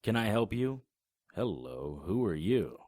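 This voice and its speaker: Deep-voiced wry noir narrator. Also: male voice, big pitch swings, a little slow, noisy background. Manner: dry, wry and understated. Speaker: narrator and lead actor. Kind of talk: noir audio drama narration.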